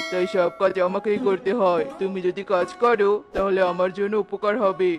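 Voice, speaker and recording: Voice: medium pitch, pitched below the performer's own voice, close to an everyday voice. A performer voicing a kindly, practical male owl in a cartoon, with music behind. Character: kindly male owl, busy office worker living alone.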